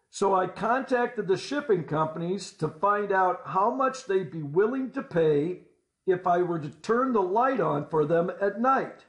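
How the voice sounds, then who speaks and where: A man telling a scripted first-person story as a storyteller, deliberately, with folksy rhetorical asides. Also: high-pitched. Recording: roomy, echoing voice-over.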